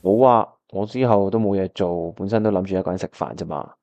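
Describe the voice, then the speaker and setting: An actor playing an earnest, caring young pianist in a Cantonese radio drama, with a Hong Kong accent. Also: male voice, deep voice, quick pace, lo-fi sound, background noise. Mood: casual. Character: earnest, caring young male pianist, narrating his memories. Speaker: voice actor narrating and playing the lead.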